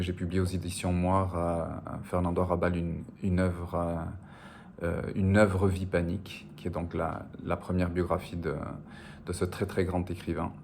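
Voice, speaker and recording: A man with a very low, gravelly voice that barely moves in pitch, speaking slowly and hesitantly with many fillers. He grew up on the French Basque border with Spanish as his first language. A faint hiss sits under the recording. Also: darkish tone. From French Basque Country, on the border. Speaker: novelist being interviewed. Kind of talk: reflective interview answers.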